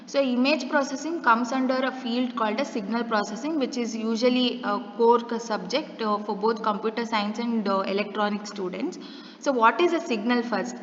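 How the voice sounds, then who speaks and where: A woman's voice: on the high side, fairly bright tone. A woman giving a step-by-step lecture, posing rhetorical questions and using everyday examples. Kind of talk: recorded classroom lecture. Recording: muffled echoey room with long reverb.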